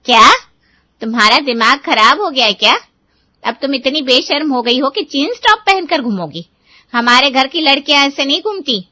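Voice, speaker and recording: A woman voicing a stern, nagging Indian mother in an animated moral story. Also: high-pitched, normal speed, no room sound. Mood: indignant, scolding. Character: strict, scolding Indian mother of a grown daughter.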